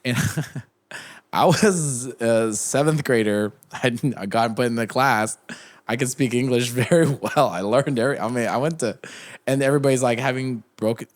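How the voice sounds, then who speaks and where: A man's voice: medium pitch, normal speed. A young man talking as a podcast guest in casual chat, rambling with many restarts and fillers. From United States.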